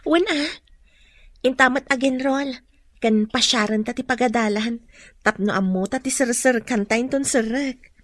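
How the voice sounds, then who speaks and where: A woman's voice: a little high, a little slow, no echo. An actress playing a young female student with a hot temper in an Ilocano radio drama. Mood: friendly. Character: young female student, indignant and hurt, quick to confront.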